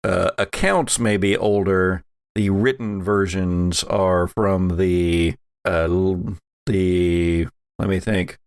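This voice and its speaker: A man narrating a tabletop roleplaying game as game master, speaking in a halting, hesitant way. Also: deep voice, fairly even intonation, clear, resonant tone, no room sound, clean studio sound.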